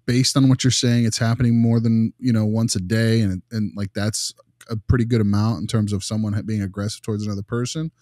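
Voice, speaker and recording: Man playing a low, mellow, tactful male consultant. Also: level tone, no room sound, studio quality, natural voice. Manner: halting, rambling, tactful, reassuring. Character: male behavior consultant, patient, diplomatic, encouraging. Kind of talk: conversational role-play of a consultation.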